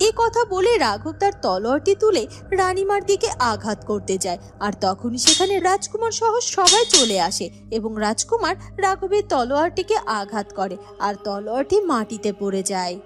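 Woman narrator for a fairy tale, scripted, highish voice, faint music under it. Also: sing-song, normal speed.

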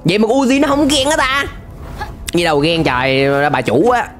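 A man's voice: high-pitched, big pitch swings, moderate pace, a bit bright. A young man hosting a reaction video, commenting casually and exclaiming at the cartoon he watches. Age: young adult. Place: indoor gaming room. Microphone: close microphone on a boom arm, headset worn. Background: cartoon soundtrack music.

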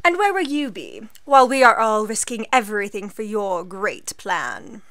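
An actress playing a sulky, sarcastic teenage sister in an audio drama, snarky and dismissive. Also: female voice, mid-range voice, sing-song, moderate pace, a bit bright, no echo, clean studio sound.

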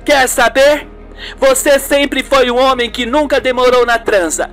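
One performer as a high, snappy young woman. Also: measured pace, a bit bright. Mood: scornful. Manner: snappy, defensive. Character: Ingrid, irritated evasive young woman, cutting. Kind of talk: radio soap opera acting. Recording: music behind the voice.

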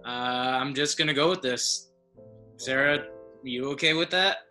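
A man's voice: on the high side, moderate pace, pushed and bright, soft background music, low-quality recording. A young man playing a bemused, easygoing guy on an awkward first date in a comedy sketch, speaking hesitantly and conversationally. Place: curtained room at home, on a video call.

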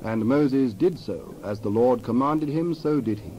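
A man narrating dramatized scripture in a measured, solemn manner. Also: medium pitch, dark tone, dull audio, slight background noise.

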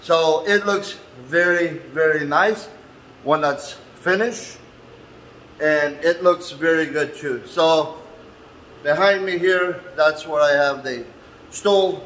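A man from Canada chatting casually to camera as he shows his workspace, in a halting, folksy way with non-standard phrasing. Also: a little high, a bit bright. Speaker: vlogger and handyman host. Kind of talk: casual walk-through chat to camera. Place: indoors in a man cave workshop. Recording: echoey room with light background noise.

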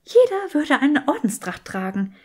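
A woman's voice: high-pitched, very animated, measured pace, warm tone, pitched above the performer's own voice. A woman voicing a clever, earnest young witch with teasing wit in an audiobook, matter-of-fact in manner.

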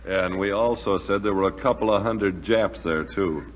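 An actor playing a laconic American military scout in a 1940s radio drama, casual and matter-of-fact. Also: male voice, low-pitched, moderate pace, natural voice. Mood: insistent. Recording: muffled, noisy, echoey 1940s radio recording.

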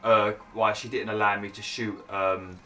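A man's voice: lowish voice, level tone, normal speed, bright, forceful tone, faint music behind. A young man commentating over gameplay, chatty and grumbling, saying he is losing his voice. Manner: chatty, grumbling, laughs at himself. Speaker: gaming YouTuber.

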